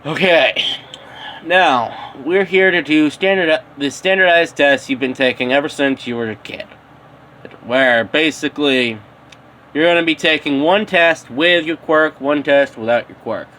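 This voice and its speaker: Man playing a stern, curt teacher, bright voice. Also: a little high, a little slow, slight background noise. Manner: curt, dismissive instructing. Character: stern, impatient male teacher.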